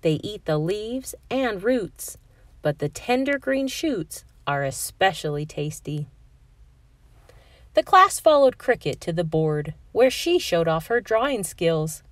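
Expressive, unhurried woman storyteller. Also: mid-range voice, dry recording. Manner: expressive, clearly phrased for young children. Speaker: read-aloud storyteller. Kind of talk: children's picture book narration.

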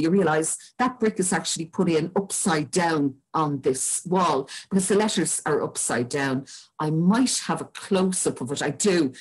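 An older woman with an Irish accent and a husky voice, giving an informal local history talk over a video call from home. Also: lowish voice, rough recording. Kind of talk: informal illustrated history lecture. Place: indoors at home. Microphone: webcam video call.

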